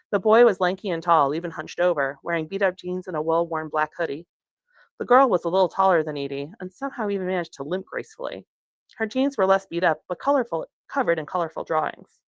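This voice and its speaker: A woman reading narration from a young adult novel aloud in an expressive storytelling way. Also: on the low side, no echo, lo-fi sound. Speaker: author reading from their book.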